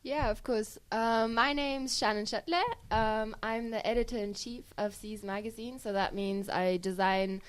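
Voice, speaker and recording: A woman's voice: fairly high pitch, fairly even intonation, measured pace, few pauses, clear, resonant tone. A young woman from Germany, a student editor answering interview questions casually. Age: young adult.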